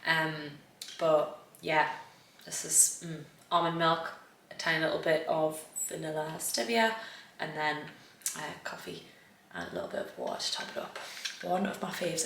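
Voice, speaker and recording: A young northern English woman with a low, bright, forward voice and a slight croak. She chats casually to camera in an echoey home kitchen, full of fillers and quick corrections. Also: a little hiss or hum. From northern England. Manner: chatty, filler-heavy, self-correcting. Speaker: food and lifestyle vlogger.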